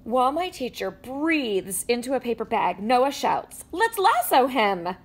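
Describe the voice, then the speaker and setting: A woman reads a children's picture book aloud in a higher voice that leaps and dips with every line. She acts out the sound effects with playful energy, recorded indoors at a table. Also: a little slow, slightly rough. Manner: animated storytelling with sound effects. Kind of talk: expressive read-aloud.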